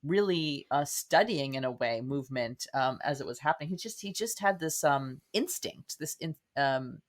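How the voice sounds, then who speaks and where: A woman's voice: low voice, lively intonation, moderate pace. A woman speaking as a guest in a casual interview, rambling earnestly as she thinks aloud. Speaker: podcast guest, playwright.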